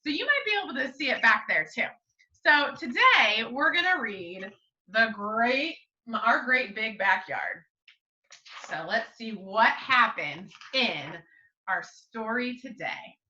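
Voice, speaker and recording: An American woman, a school teacher, hosting a storytime for young children in an expressive sing-song way, asking them questions as she goes. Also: on the high side, slow pace. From United States. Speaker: school teacher reading to her young pupils. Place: indoors at home. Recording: roomy echo, distant mic, slightly dull sound.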